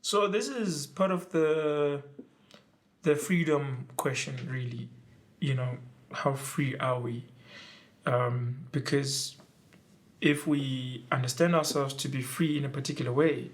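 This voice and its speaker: A man who is an academic, speaking in a documentary interview in a lecturing way with frequent pauses. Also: mid-range voice, steady tone. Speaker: academic and PhD researcher.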